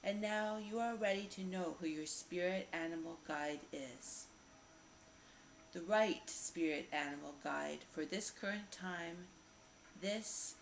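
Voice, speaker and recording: A woman narrating a guided meditation visualization in a slow, repetitive, soothing manner with lingering pauses. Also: on the low side. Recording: roomy echo, faint background noise.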